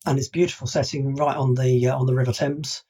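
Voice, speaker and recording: Husky man, measured co-host. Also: medium pitch, little pitch movement, warm tone. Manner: measured, halting. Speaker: podcast co-host. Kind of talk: casual chat.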